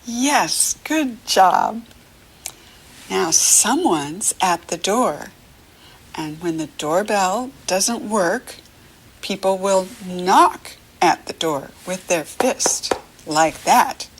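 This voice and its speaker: A woman with an American accent leads a picture-book reading lesson for small children. Her voice is breathy and a little husky, and she takes her time. She speaks in short, simple, encouraging phrases, pausing to let the child answer. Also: medium pitch, lively intonation, rough recording. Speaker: reading teacher for young children.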